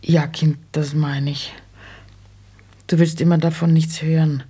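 An actress playing an insistent, plaintive old mother who talks plainly and haltingly, in a German radio play. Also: low voice, little pitch movement, mellow, heavily acted. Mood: probing, insistent. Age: older. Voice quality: husky, creaky. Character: nagging, money-minded old widowed mother, plain-spoken.

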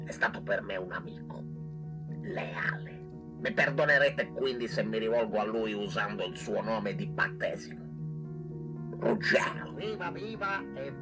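A man playing an exasperated, pompous small-town doctor in a radio play, with a theatrical, grumbling, oratorical manner. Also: mid-range voice. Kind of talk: Italian radio play acting.

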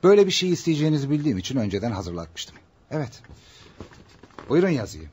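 An actor playing a shameless extortionist husband in a radio play, with oily, businesslike politeness. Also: male voice, medium pitch, big pitch swings, fast talker, darkish tone, breathy edge. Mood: smug, mock-polite. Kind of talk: Turkish radio theater acting.

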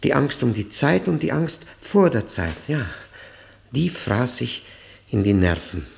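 Haunted convict, slow delivery, creaky, grim repetitions, brooding, bitter, radio play. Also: male voice, medium pitch, sing-song, exaggerated voice. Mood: haunted dread. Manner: deliberate, halting, repeating words with grim weight. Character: embittered male life prisoner, brooding, haunted, bitterly ironic. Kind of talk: German radio play acting, dramatic monologue.

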